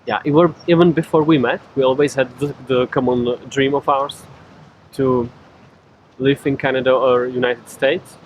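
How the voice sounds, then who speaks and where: A man's voice: mid-range voice, steady tone, moderate pace, a little hiss or hum, low-quality recording. A man in his twenties with a Czech accent, chatting casually on camera outdoors and stumbling over his words. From Czech Republic. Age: 20s. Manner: hesitant, restarts words often. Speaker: vlogger. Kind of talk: casual chat. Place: outdoors with a city behind.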